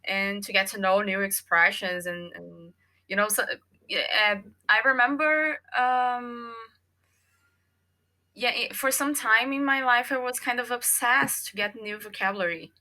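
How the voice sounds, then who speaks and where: A Brazilian woman, a native Portuguese speaker and former English teacher, speaks English with a Brazilian Portuguese accent in a casual podcast interview, pausing often to search for words. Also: a little high, fairly even intonation, pushed and bright.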